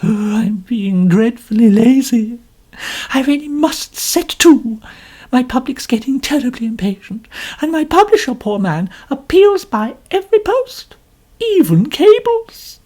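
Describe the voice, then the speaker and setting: Storybook figure: high, loud, theatrical lady novelist, complaining and self-important, put on by the narrator. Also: lively intonation, a little slow. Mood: gushing. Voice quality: complaining, melodramatic. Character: pompous, gushing older woman novelist.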